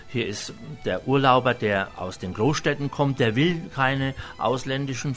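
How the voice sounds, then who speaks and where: A man's voice: medium pitch, a bit bright. A chef from Franconia with a Franconian accent explains his cooking in a homely, rambling way with many hesitations. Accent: Franconian German. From Franconia, Germany. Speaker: restaurant chef. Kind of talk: cooking demonstration chat.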